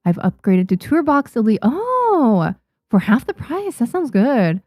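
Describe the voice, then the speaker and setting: A woman's voice: a little high, sing-song, a little slow, a little mellow, no room sound, studio quality. A young woman, a native Japanese speaker, chatting casually on a live stream, reading and answering viewers' questions with many restarts and fillers. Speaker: streamer and manga artist.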